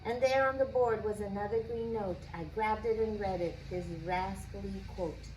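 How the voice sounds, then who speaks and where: A woman reading a children's picture book aloud expressively, with deliberate, dramatic phrasing. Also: medium pitch, fairly even intonation, a little mellow. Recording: echoey room, faint background noise.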